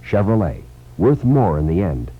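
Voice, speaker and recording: A man's voice: low-pitched, sing-song, normal speed, slightly rough. An American man reading a scripted radio commercial as an announcer. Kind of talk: scripted radio car advertisement. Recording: muffled 1960s radio commercial on tape.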